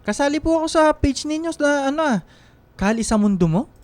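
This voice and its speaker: Man acting an eager, high-voiced polite waiter. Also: expressive, brisk, dry recording. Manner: courteous, eager. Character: polite, eager male server and devoted reader. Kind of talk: radio drama acting in Tagalog.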